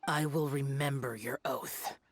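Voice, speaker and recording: A man's voice: mid-range voice, steady tone, a little slow, natural voice. Stern young immortal demon slayer, acted by a man. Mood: stern, condemning. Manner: solemn, severe, formal. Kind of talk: game cutscene voice acting.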